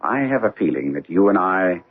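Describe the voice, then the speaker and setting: Gentleman sleuth, precise and theatrical, swooping intonation, radio mystery, narrow muffled sound. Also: low-pitched, big pitch swings, normal speed. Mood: brisk, keen. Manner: precise, formal, theatrical phrasing. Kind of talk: radio mystery drama acting.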